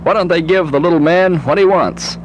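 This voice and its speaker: A man's voice: a little high, fairly quick, highs cut off. A man reading a comic news bulletin as a college radio disc jockey, with theatrical joke-telling delivery. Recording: noisy 1970s radio aircheck tape.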